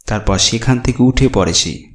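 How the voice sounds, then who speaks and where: A man's voice: medium pitch, moderate pace, a little hiss or hum. A man reading scripted narration for a horror cartoon story. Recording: roomy echo, music behind. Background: music.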